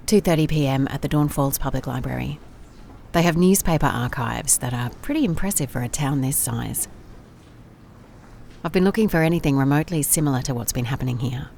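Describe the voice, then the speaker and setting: Low-voiced, wry woman private investigator. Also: gravelly, a little mellow, slight background noise. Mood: musing, businesslike. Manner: dry, musing, clipped note-taking. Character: wry, methodical female private investigator dictating case notes. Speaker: lead actor in audio drama. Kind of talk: first-person audio drama case log.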